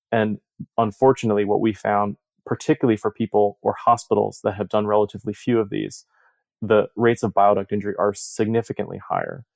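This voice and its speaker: A man speaking as a surgeon and researcher in an interview, explaining in a measured way and posing rhetorical questions. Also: lowish voice, vocal fry, dry recording. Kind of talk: interview discussion of surgical research.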